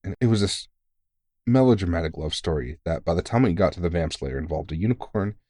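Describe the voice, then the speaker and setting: Veteran vampire hunter played by a man for audio roleplay: very deep, close and dry, earnest, sweary, reassuring. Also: expressive, a little fast, warm tone, no room sound. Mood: amused, reminiscing. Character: big, gruff but caring veteran male vampire hunter, mentoring. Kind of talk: intimate audio roleplay monologue.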